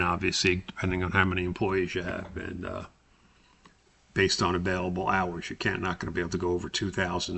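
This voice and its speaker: Low gravelly guy doing a halting tutorial walkthrough, pretty flat and slow. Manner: halting, step-by-step explaining. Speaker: instructor. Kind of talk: screen-recorded spreadsheet tutorial narration.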